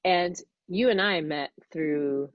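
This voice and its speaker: A woman hosting a podcast interview, chatty and quick to laugh, with frequent restarts. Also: fairly low pitch, highs cut off. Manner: warm, chatty, laughs often, rambling restarts. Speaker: podcast host. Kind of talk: casual interview chat.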